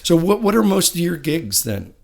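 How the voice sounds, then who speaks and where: A man's voice: fairly high pitch, sing-song, moderate pace, vocal fry. A man hosting a casual music interview, friendly and rambling, with many uhs. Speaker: show host.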